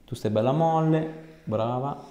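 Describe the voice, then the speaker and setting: A man's voice: medium pitch, a little slow, warm tone. A man, an osteopath chatting casually and giving short, reassuring instructions during an exam. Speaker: osteopath treating a patient. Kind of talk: casual clinical chat during a hands-on exam. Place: small indoor clinic room. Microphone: small mic clipped to scrub top. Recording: echoey small treatment room.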